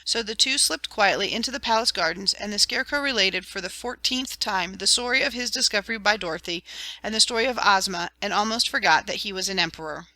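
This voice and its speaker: Woman storybook narrator, bright crisp tone, dry close sound. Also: mid-range voice, fast, no room sound. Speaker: audiobook narrator.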